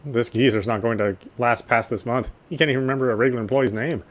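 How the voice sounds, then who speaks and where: Brief: man voicing a dry, reflective young cop narrating inner thoughts, quick delivery, mid pitch. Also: fairly even intonation, close to an everyday voice. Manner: measured, wry, reflective narration. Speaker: police officer. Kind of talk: first-person game narration.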